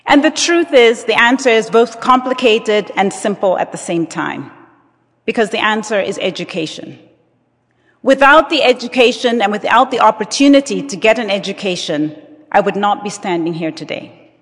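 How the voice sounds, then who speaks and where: A woman, giving a formal address as guest speaker with a measured, emphatic delivery. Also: medium pitch, expressive. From Zambia. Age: 50s. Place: large auditorium with audience. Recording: reverberant hall.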